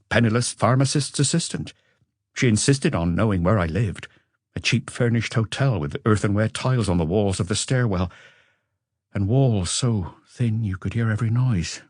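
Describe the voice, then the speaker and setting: A man voicing an educated Frenchman who looks back on a passionate marriage with regret, in a measured, confessional audiobook reading. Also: mid-range voice, darkish tone, dry recording, clean studio sound, pitched above the performer's own voice, natural voice. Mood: reflective, candid. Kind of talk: audiobook character dialogue.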